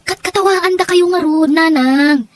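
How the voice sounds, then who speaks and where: A woman's voice: very high voice, a little slow, no echo. A woman playing a scolding, disapproving young adult daughter in an audio drama, with a nagging, reproachful manner. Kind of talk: Ilocano audio drama dialogue.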